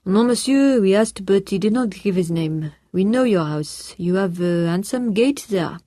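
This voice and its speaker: A woman voicing a polite French male police officer who speaks slow, courteous English with a French accent. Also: lowish voice, darkish tone, dry recording, studio quality.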